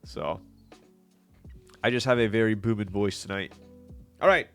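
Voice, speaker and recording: A man's voice: fairly low pitch, moderate pace, clean tone, soft background music. A man hosting a casual live stream, talking with viewers while he builds something. He sounds congested with a stuffy nose, and he is chatty and laughs often.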